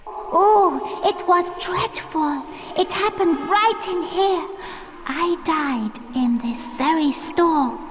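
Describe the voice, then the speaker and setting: A woman's voice: very high voice, takes it slowly, background noise. A woman voicing a mournful, touchy teenage girl ghost in a video game, with plaintive, melodramatic delivery. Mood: mournful, dramatic. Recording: long hall-like reverb.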